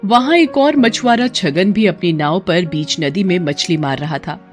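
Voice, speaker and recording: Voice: medium pitch, a little slow, soft background music. A narrator reading a scripted cartoon folk story.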